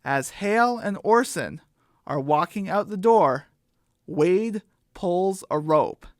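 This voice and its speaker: A woman's voice: fairly low pitch, expressive, takes it slowly, no room sound, clean studio sound. A woman narrating and hosting a homemade children's radio play. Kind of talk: children's story narration and host sign-off.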